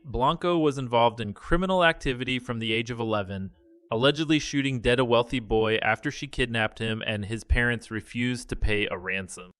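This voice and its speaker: A man reading a scripted news report in a measured, even delivery. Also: medium pitch, dry recording, studio quality. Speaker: news narrator.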